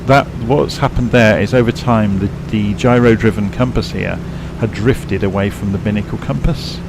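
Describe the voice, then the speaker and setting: A sleepy man with a croaky, gravelly voice, pitched a little low, walking viewers through a flight simulator cockpit. He rambles, corrects himself and explains as he goes, over a noticeable hiss of background noise. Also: moderate pace. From England. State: croaky, tired voice. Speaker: flight sim streamer. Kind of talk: casual live-stream commentary.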